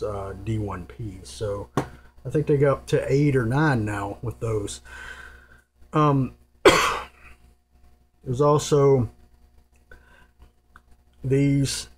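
A man giving a casual, unscripted hands-on explanation in a rambling, informal and matter-of-fact manner. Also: mid-range voice. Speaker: presenter giving radio gear advice. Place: indoors at a table. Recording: noisy home recording with hum. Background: clear noise and hum.

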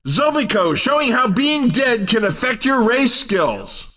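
Male arcade racing commentator, cheeky, excitable, emphatic, unhurried delivery, slightly distant from the mic. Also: very high voice, exaggerated voice. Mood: excited, teasing. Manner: dramatic, teasing, emphatic. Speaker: video game race commentator. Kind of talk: excited scripted sports commentary.

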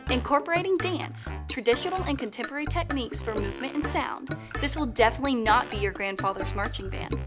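A woman's voice: high-pitched, expressive, fast, few pauses. A woman presenting a scripted segment as the host of a show. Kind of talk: scripted narration. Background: music.

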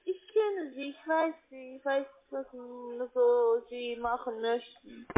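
Female call centre agent, high voice, foreign accent, broken phrasing, tinny phone line. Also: steady tone, slow pace. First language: not German. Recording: narrow-band phone line.

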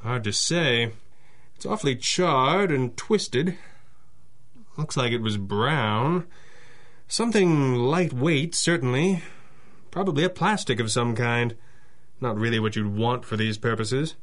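An actor playing a dry, mysterious masked crimefighter boss, speaking in measured deadpan in a 1930s-style radio adventure. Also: male voice, medium pitch. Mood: analytical.

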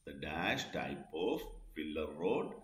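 A man with a low-pitched voice reads out a scripted lesson like an instructor. He goes slowly and deliberately, repeating himself as he moves through questions and answers. The recording is noticeably echoey and roomy. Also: big pitch swings, a bit bright.

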